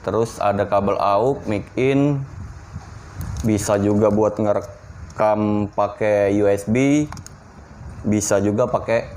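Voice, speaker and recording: A man's voice: fairly low pitch, darkish tone, slight background noise. A man, giving an informal, slangy, unscripted hands-on product review. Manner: informal, slangy, talking through a hands-on demonstration.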